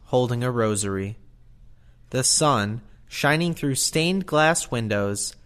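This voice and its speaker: A man's voice: medium pitch, a bit bright, clear, resonant tone. A man reading stage directions as scripted narration for an audio play.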